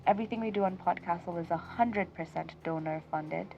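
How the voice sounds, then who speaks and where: A Kenyan woman hosts a fiction podcast in a slightly low voice. She talks expressively, leaning hard on key words and stretching them out. Soft music plays underneath her. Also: a little fast, vocal fry, muffled recording. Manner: expressive, emphatic, lingers on words. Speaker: podcast host. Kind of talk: warm outro chat.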